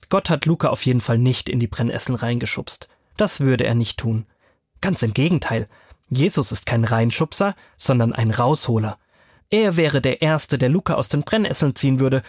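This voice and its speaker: A man's voice: mid-range voice, darkish tone, no echo, studio quality. A man as a guest giving faith advice to children, explaining simply in a patient, reflective way.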